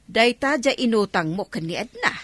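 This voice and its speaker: A woman's voice: on the high side, sing-song, normal speed, fairly bright tone, no echo. A woman with an Ilocano accent playing a long-suffering village mother who admonishes her grown daughter in a radio drama. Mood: reproving. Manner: admonishing, exasperated.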